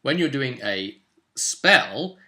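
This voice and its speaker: Bright-voiced man explaining game rules. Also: mid-range voice, big pitch swings, slow. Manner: conversational, addresses viewers directly with tag questions. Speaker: host of tabletop roleplaying guide videos. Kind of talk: informal explainer, part ad-libbed, part reading rules aloud.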